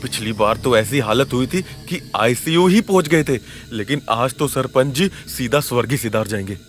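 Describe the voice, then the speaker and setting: An actor playing a sarcastic village man in an animated story. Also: medium pitch, normal speed, soft background music, close to an everyday voice. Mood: sardonic.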